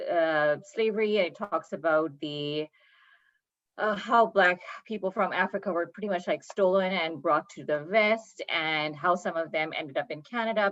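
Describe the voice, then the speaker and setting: A woman's voice: lowish voice, a bit bright, highs cut off. A woman in her thirties from Pakistan, chatting as a guest in an interview with lots of ums and small laughs. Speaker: poet and guest. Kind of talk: casual interview talk.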